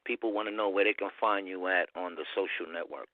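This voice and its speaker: Deep voiced dude hosting an interview, chill street slang, muffled dry audio. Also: male voice, fairly even intonation, fast, no echo. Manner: slangy, laid-back street talk. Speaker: interviewer, channel host. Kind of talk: casual interview chat.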